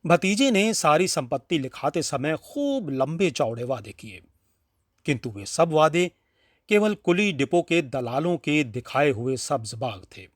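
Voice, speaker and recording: Animated, higher-pitched male storyteller. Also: no echo, clean studio sound. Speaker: storyteller reading a classic short story. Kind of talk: expressive scripted audiobook narration.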